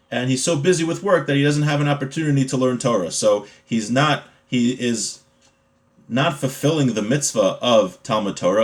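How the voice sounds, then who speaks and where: Man teaching an informal religious law lesson. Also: medium pitch. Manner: explanatory, checks in with rhetorical questions.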